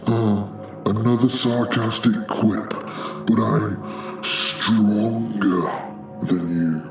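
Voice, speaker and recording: Slow, pompous, echoing demon duke. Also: male voice, fairly low pitch, fairly even intonation. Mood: haughty. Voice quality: lugubrious, sickly-smooth. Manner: pompous, drawn-out declaiming. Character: proud, menacing, ancient demon duke, ranting and superior. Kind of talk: theatrical audio drama villain. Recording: muffled, echoing, with music underneath.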